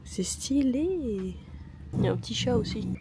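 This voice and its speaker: A woman in her twenties chatting casually as a travel vlogger. She talks in a slangy, excitable way into a handheld phone camera. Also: a little high, normal speed, over music. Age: 20s. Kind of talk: casual vlog chat. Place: outdoors and in cafés while travelling.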